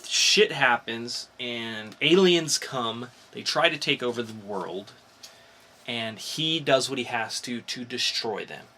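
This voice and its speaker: A man's voice: mid-range voice, lively intonation, takes it slowly, bright, forceful tone. A man hosting a podcast, talking casually about geek news in an informal, explanatory way with some swearing. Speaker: podcast host.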